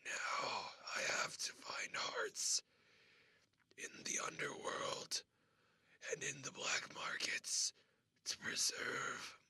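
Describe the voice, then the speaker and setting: A man playing an ancient, feeble but proud and sinister old man in a comedy sketch, with a breathy, creaky voice and a halting delivery. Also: pushed and bright, dry recording. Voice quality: husky, breathy, whispery, creaky. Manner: halting, drawn-out delivery in short phrases. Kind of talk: comedy sketch interview acting.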